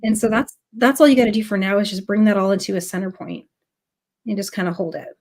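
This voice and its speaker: A woman's voice: mid-range voice, fast, darkish tone. A woman with a cold teaching a live beading class, chatty and full of ums as she greets viewers. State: has a cold. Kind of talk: casual live tutorial.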